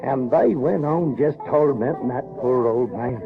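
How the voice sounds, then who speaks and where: An actor playing a folksy, earnest Southern American runaway boy who tells his adventures in halting, colloquial speech. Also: medium pitch, gravelly. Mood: folksy, musing. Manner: halting, colloquial, repeats words. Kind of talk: first-person storytelling in radio drama. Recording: dull 1970s recording with music.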